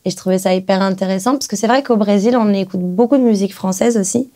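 A young woman from Brazil, a native Portuguese speaker, chatting as a guest singer in a small music studio, relaxed, with many pauses. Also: medium pitch. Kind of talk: casual interview chat. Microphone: studio mic on stand.

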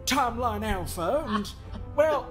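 Smug middle-aged cosmic therapist man, played by a woman, mock-stern and folksy, very animated pitch, comedic game dub over prominent music. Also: a little slow. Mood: amused, scolding. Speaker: fan dub voice performer. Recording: music under the voice.

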